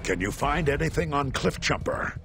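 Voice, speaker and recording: A man's voice: a little high, lively intonation, normal speed. A man playing a noble, resolute giant robot commander in a video game, speaking in a solemn, formal, grand way. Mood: concerned, steady. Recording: loud music and battle sounds behind.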